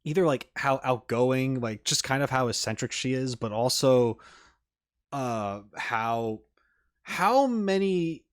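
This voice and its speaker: A man's voice: medium pitch, animated, no room sound, studio quality. A man hosting a reaction video with casual, rambling commentary, often breaking off mid-thought and restarting his sentences. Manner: halting, self-interrupting, trailing off mid-thought; casual swearing.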